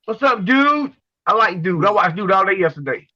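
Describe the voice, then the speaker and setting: A man's voice: high-pitched, animated, normal speed, pushed and bright, dry recording, lo-fi sound. A man in his forties co-hosting in casual, slangy talk, rambling along and repeating his phrases. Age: 40s. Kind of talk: casual chat.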